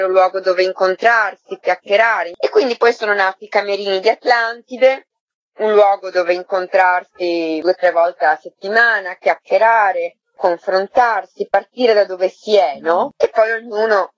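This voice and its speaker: A woman being interviewed on radio, thinking aloud in a halting, reflective way and often adding tag questions. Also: mid-range voice, dry recording, lo-fi sound. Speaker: interviewed artistic coordinator of a theatre artists' project.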